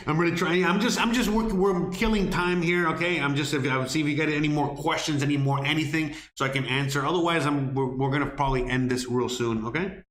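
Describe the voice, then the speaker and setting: Miami guy on a livestream, cussing a lot, kinda high voice, echoey room. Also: steady tone, brisk, a bit bright. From Miami, United States. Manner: blunt, profane, rambling with many fillers. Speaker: livestream host answering viewer questions. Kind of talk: casual live Q&A chat. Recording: roomy echo.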